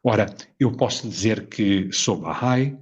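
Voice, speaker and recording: A Portuguese man with a low, husky voice speaks in a measured, reflective way, weighing each idea and putting rhetorical questions to his audience. The recording is dull and somewhat lo-fi. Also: expressive. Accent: European Portuguese. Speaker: video presenter. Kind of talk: reflective spoken essay.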